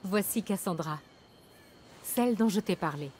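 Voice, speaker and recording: A woman's voice: fairly low pitch, expressive, normal speed, a little hiss or hum, natural voice. A woman voicing a proud, shrewd rebel leader in a video game, with an earnest, firm and persuasive delivery. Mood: steady. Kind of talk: video game voice acting in French. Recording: game dialogue over loud music.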